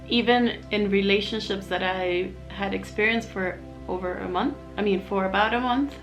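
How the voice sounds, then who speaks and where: A woman's voice: medium pitch, a bit bright, poor audio. A young adult woman from Miami, chatting candidly and reflectively in a casual personal vlog, with fillers and restarts. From Miami, USA. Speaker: vlogger, single mom living in Mexico.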